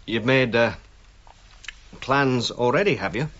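An actor playing a hearty, easygoing archaeologist in a radio drama, talking informally and conversationally. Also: male voice, mid-range voice, takes it slowly, fairly bright tone. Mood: measured. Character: hearty, easygoing archaeologist, male colleague back from illness. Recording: dull, faintly noisy radio recording.

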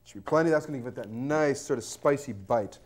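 A man's voice: mid-range voice, fast, slight background noise. A man in his thirties hosting a cooking show in a studio kitchen, cheeky and joking as he talks to camera. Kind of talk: jokey cooking demonstration.

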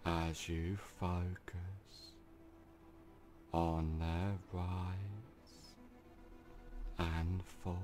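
A man guiding a sleep hypnosis session in slow, soothing, drawn-out phrases. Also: low-pitched, darkish tone.

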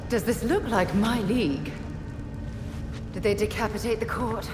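A woman's voice: on the high side, moderate pace, lightly acted. An actress playing a cool, cryptic female assassin leader who speaks evasively, in a game cutscene. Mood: cold. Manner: cool, evasive, coolly mocking. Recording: roomy reverb with music score.

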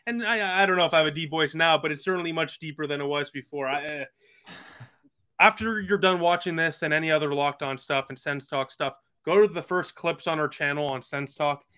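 A man's voice: on the high side, brisk, pushed and bright. A man of about twenty, chatting earnestly as a podcast guest over a video call with lots of fillers. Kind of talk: casual video call chat.

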